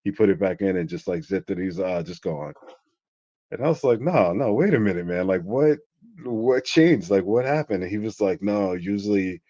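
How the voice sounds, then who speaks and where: Low-voiced middle-aged guy rambling on with a story, lots of "like", dull dry audio. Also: lively intonation, moderate pace, warm tone, no room sound. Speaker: interview guest. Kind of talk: casual storytelling. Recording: dull lo-fi sound.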